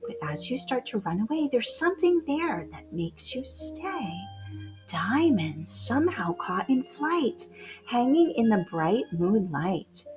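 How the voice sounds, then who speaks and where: A woman with a high, very lively voice reads a rhyming picture book for children. Her delivery is theatrical and unhurried, dropping to hushed whispers and rising to bold exclamations. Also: over music. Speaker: children's storybook reader. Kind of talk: animated read-aloud of rhyming picture book poems.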